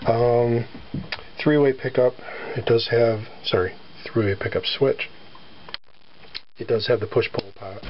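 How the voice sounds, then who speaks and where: A man with a scratchy voice from allergies, casually showing off his guitar with lots of fillers and throat clearing. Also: mid-range voice, fairly even intonation, measured pace, pauses often. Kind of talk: casual show-and-tell. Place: near a sunny front porch. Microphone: handheld camera filming close-up. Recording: dull home-video sound with faint background noise.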